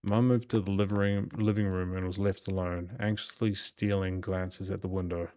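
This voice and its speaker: A man's voice: sits low, level tone, moderate pace, a little mellow, clean tone. A man reading visual novel narration aloud as a let's play host, occasionally stumbling and repeating phrases. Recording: game music behind the voice. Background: horror game music.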